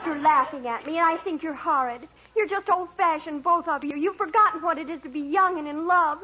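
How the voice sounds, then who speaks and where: Woman as a very high-voiced melodramatic teenage girl. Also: fairly quick, lightly acted. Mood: hurt, indignant. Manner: earnest, gushing, easily offended. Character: dreamy, melodramatic seventeen-year-old daughter in love. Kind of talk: 1940s radio comedy acting. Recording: muffled, noisy vintage radio recording.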